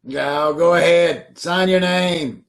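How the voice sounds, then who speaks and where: A man's voice: a little high, steady tone, slow, creaky. A man playing a breezy, pushy brother-in-law in an amateur old-time radio play read over a video call.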